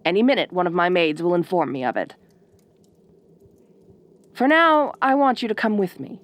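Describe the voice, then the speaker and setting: A woman's voice: fairly low pitch, sing-song, moderate pace. A woman playing a bossy, haughty ice queen in an audio roleplay, speaking in imperious, formal, condescending phrases. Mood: commanding, softer. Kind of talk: audio roleplay acting addressed to the listener.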